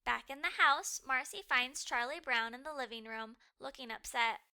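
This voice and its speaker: A woman reading a children's story aloud as a storyteller, with expressive, emphatic delivery. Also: high-pitched, normal speed, smooth. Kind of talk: scripted storybook narration.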